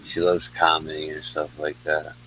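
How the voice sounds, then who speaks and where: Deep-voiced laid-back man, faint hum. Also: completely flat delivery, moderate pace, bright, forceful tone, low-quality recording. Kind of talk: casual chat. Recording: faint background noise and hum.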